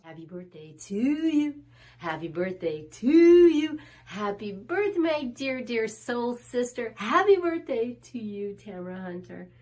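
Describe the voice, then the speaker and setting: A woman's voice: a little high, sing-song, measured pace, darkish tone. A woman singing and exclaiming an exuberant, playful birthday message. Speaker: well-wisher.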